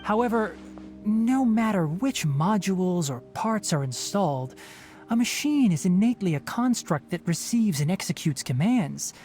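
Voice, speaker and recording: A man voicing a thoughtful young forest ranger with animal ears and a tail in a video game, speaking in a measured, articulate way. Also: high-pitched, expressive, darkish tone. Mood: earnest, reflective. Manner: measured, articulate, matter-of-fact. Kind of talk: video game character dialogue. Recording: game music behind the voice. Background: background music.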